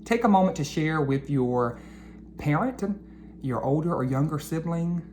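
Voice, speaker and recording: A woman with a low voice speaks slowly and earnestly, as an educator addressing children at a read-aloud. Her delivery is deliberate and reflective. There is some background noise in the recording. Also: lively intonation, mellow.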